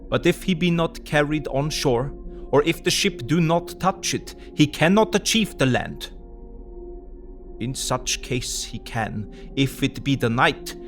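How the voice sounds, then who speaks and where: A man playing a learned, resolute older foreign professor in an audio drama, speaking deliberately with quaint phrasing. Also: mid-range voice, a bit bright. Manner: deliberate, emphatic, quaint non-native phrasing.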